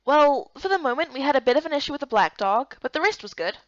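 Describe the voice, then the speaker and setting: Woman as a high-voiced, curious young heroine. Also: lively intonation, fast, no room sound, muffled recording. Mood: unsure. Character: young human heroine, curious, unsure, plain-spoken. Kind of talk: first-person visual novel narration and dialogue.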